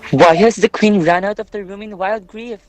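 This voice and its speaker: A man's voice: high-pitched, a little slow. A man playing a grave messenger of doom in a readers' theatre Greek tragedy, with dramatic, emphatic delivery. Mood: alarmed. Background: music.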